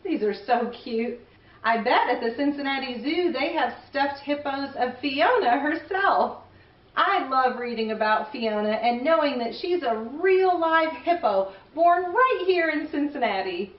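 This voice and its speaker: High-pitched, gushing woman hosting for kids. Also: lively intonation, unhurried. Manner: gushing, exclamatory. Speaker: children's read-aloud show host. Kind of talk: playful presenting for young children. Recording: roomy, distant, slightly dull sound.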